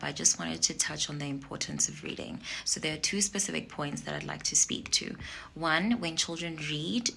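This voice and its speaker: A woman's voice: low voice, vocal fry, bright, forceful tone. A woman author giving a short, earnest prepared talk in an informal spoken style. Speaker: children's author. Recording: faint background noise.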